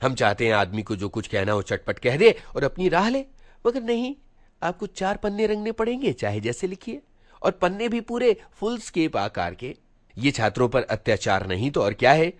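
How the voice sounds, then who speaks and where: A man's voice: on the high side, sing-song, moderate pace, no echo, voice pushed up. A man voicing a preachy, self-important schoolboy older brother, lecturing pompously with rhetorical questions in a storybook monologue. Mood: exasperated.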